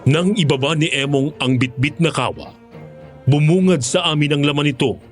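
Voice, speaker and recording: A man narrating a first-person horror story in a grave, measured, scripted manner. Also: a little high, animated. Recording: music under the voice.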